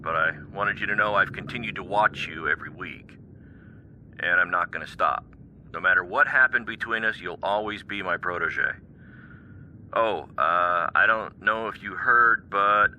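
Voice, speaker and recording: A former male wrestling mentor speaks in a deep voice with a very sharp, forceful edge. He sounds wistful and wounded, like a game cutscene monologue, over faint noise. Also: poor audio. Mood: rueful.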